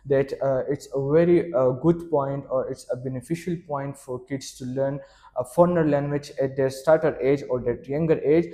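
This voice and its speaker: A man's voice: mid-range voice, steady tone, moderate pace, mellow. A young man whose first language is Pashto, answering questions in a mock speaking exam with many fillers and restarts. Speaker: test candidate.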